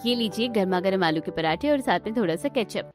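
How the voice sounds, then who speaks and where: A cheerful, obliging young daughter-in-law in a family cartoon, played by a woman. She sounds resourceful, with a mid-pitched voice over faint music. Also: animated, a little fast, close to an everyday voice. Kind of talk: cartoon family drama dialogue.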